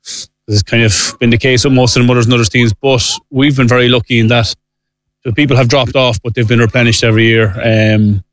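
A man, a team coach, speaking in a brisk, matter-of-fact way. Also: medium pitch, flat delivery, no echo. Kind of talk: casual interview.

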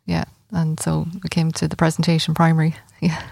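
A woman in her late forties with an Irish accent, a festival organiser talking as a guest in a casual interview, with many pauses and fillers. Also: deep voice, completely flat delivery, creaky, a little mellow, no room sound. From Ireland. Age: 40s. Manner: hesitant, many fillers and restarts. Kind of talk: conversational radio interview.

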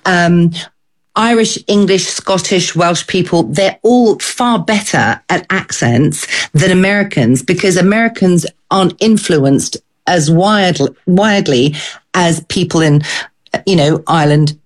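A woman's voice: lowish voice, measured pace. A woman who works as an accent coach, talking casually and rambling, with lots of fillers. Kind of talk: casual conversation.

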